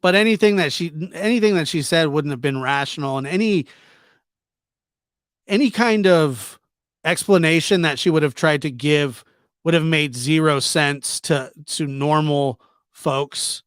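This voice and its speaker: Male livestream host, slightly higher voice, informal teasing chat delivery with repetition, very dry recording. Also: unhurried, a bit bright, smooth, no room sound. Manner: informal, teasing, repeats himself. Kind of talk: casual live chat commentary, reading and answering viewer comments.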